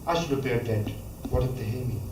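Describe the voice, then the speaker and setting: A man, reading a memoir passage aloud at a measured pace, now and then stumbling and restarting a word. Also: medium pitch, darkish tone, a little hiss or hum. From Pakistan. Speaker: author reading from his book. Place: college lecture hall. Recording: echoey hall, voice from a distance, faint ambience.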